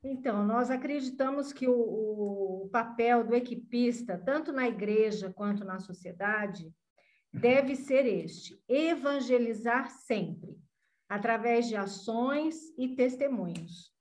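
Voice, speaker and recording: A woman's voice: on the high side, slow pace, a little mellow. A woman in her sixties answering earnestly as a podcast guest. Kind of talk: podcast interview.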